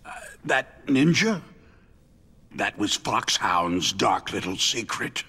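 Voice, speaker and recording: A man voicing a wounded, cynical arms company executive in a video game, with a creaky voice and a weary, condescending way of explaining things. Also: fairly low pitch, big pitch swings, slow, bright, forceful tone, heavily acted. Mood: pained, weary. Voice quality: creaky, gravelly.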